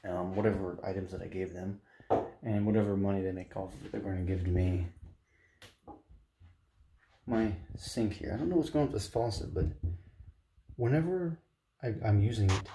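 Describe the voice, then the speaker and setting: Casting: late-twenties man, dark mellow low voice, meandering vlog chatter. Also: normal speed, long pauses, reverberant, a little hiss or hum. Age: late 20s. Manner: meandering, many fillers and restarts. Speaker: art vlogger. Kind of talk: casual rambling vlog; voice-over while drawing. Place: small apartment kitchen, indoors. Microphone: handheld camera at arm's length.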